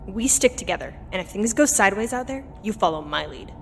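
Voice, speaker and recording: An actress playing a resolute, wary crew leader in an audio drama, speaking firmly and earnestly. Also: female voice, mid-range voice, expressive, brisk, soft background music, close to an everyday voice. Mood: grave, resolute. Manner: firm, earnest.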